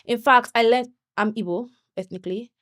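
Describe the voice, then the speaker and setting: A young woman, a guest on an interview show, chatting in an animated way and laughing often. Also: on the high side, no room sound, studio quality. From Nigeria. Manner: animated, laughs often, quick bursts when excited. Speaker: podcast guest. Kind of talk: conversational interview.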